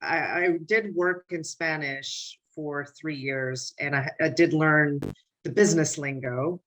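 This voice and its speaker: A woman's voice: deep voice, fairly even intonation, slow pace, a bit bright, rough recording. A woman guest in a casual chat who talks hesitantly with frequent restarts.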